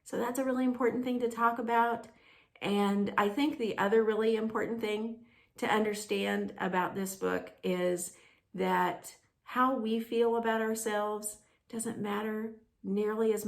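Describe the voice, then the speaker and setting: A woman storyteller for a children's ministry, gently narrating a storybook and talking to young listeners. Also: medium pitch, fairly even intonation, a little slow, gravelly.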